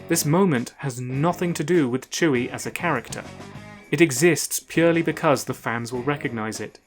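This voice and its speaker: A man hosting a scripted video essay, narrating in a measured, analytical and argumentative way. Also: medium pitch, soft background music.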